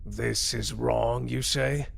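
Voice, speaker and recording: A man voicing a cold, contemptuous elder official in a roleplay audio drama, commanding and menacing, with a gravelly, raspy voice. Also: mid-range voice, steady tone, takes it slowly, heavily acted. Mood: stern contempt.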